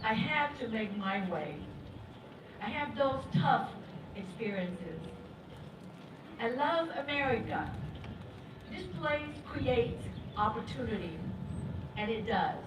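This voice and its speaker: A woman in her sixties who grew up in Vietnam and speaks Vietnamese as her first language, giving a slow, emphatic, halting speech. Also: on the high side, big pitch swings. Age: 60s. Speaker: museum docent, speaker. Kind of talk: prepared public speech. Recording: echoey, distant, very noisy.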